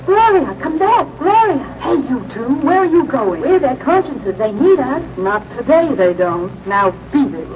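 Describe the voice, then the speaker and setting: A fretful female conscience, very animated. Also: sits high, unhurried, few pauses, background noise. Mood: alarmed. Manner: fretful, pleading, insistent. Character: female conscience of a bride, fretful, urging inner voice. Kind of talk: 1940s radio drama acting. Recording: muffled 1940s radio with music.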